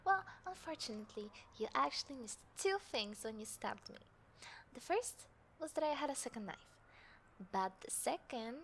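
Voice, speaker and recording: Woman acting as female serial killer, high-ish voice, unhurried, taunting, playful menace, theatrical, audio roleplay. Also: a bit bright. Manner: slow, deliberate, theatrical, breathy drops to near-whisper. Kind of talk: scripted audio roleplay addressed to the listener. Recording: clear background noise, city ambience effects.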